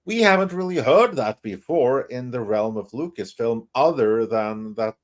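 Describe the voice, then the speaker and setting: A man's voice: mid-range voice, lively intonation, a little slow. Male host for opinion talk show, mid-range voice, emphatic and conversational, argues through pointed questions. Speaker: panel host. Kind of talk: opinionated panel discussion, speculation.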